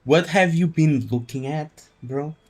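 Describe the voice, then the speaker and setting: A man's voice: a little high, a little slow. A man giving casual, wry live commentary as he streams a video game. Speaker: livestreamer.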